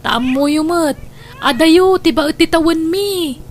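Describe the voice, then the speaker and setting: A woman's voice: extremely high pitch, measured pace, a little hiss or hum. A woman playing a discontented, worried young wife in an audio drama, with an Ilocano Filipino accent. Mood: defensive.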